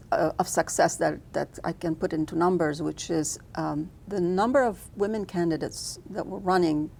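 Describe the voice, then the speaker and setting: Low, gravelly-voiced woman filmmaker from Lebanon. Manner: thoughtful, frequent restarts and fillers. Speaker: filmmaker guest. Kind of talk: interview answers.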